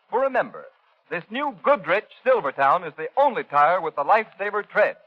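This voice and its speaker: A male announcer reads an old-time radio advertisement. His voice is high for a man, with lively swings in pitch and an emphatic, persuasive push. The sound is dull, like an aged broadcast. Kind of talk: scripted 1930s radio sales pitch. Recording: dull, band-limited 1930s radio recording.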